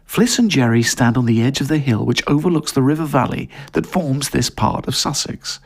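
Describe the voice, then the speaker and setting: Husky Englishman narrating a story. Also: medium pitch, expressive, moderate pace. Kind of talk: scripted audio story narration.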